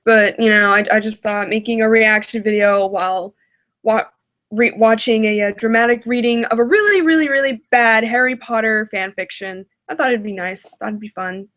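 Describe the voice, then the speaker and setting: A woman's voice: a little high, moderate pace, a bit bright. A woman giving casual reaction commentary as a video host, hesitant and self-deprecating.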